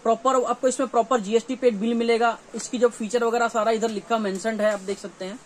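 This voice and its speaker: A man's voice: very high voice, flat delivery, fast, poor audio. A man in his thirties with a North Indian accent, a dealer giving an informal, repetitive sales showcase to camera in a small shop. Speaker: shop dealer presenting products. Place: small cluttered gear shop, indoors. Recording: faint shop background noise.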